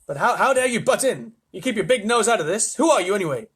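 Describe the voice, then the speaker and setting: Man playing a cocky, animated astronaut. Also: high-pitched, fairly quick, breathy edge, voice pushed up. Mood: cheeky. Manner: blunt, slangy, cocky. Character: excitable, cheeky male astronaut Shanks reporting by radio.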